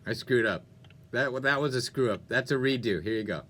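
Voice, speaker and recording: A man's voice: medium pitch, fairly quick, fairly bright tone. A man hosting a music radio show with upbeat DJ patter, playful and chatty, often interrupting himself.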